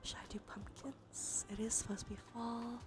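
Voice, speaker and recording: A woman's voice: a little high, flat delivery, normal speed. A woman livestreamer giving whispered ASMR commentary on a game, murmuring as she thinks aloud. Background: music.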